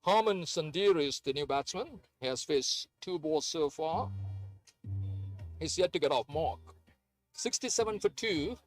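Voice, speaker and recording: A man's voice: on the high side, lively intonation, a little slow, faint music behind. A man who grew up in Sri Lanka, giving hesitant, chatty play-by-play of a live cricket match with many fillers. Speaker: cricket commentator.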